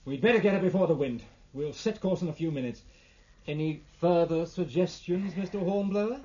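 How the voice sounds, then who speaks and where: Guy playing a stiff uneasy british navy captain, high soft-toned voice, radio play, kinda muffled. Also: fairly quick, lightly acted. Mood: brisk, formal. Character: uneasy, formal British naval acting captain. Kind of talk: radio drama acting.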